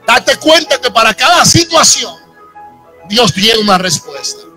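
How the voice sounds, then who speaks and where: Bright-voiced Dominican preacher. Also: male voice, very high voice, very animated, measured pace. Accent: Dominican Spanish. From Dominican Republic. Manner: preaching with rising emphasis and audience asides. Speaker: pastor. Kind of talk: sermon. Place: church sanctuary with congregation. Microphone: handheld microphone. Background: soft instrumental music.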